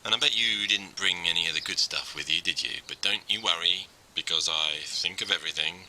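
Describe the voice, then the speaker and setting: A man playing a grieving, outwardly cheery but quietly unsettling friend in a game, speaking in a halting, theatrical way that slips into whispers. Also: low voice, noisy background, lightly acted. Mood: sly, falsely cheery. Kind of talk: first-person game dialogue, dramatic monologue.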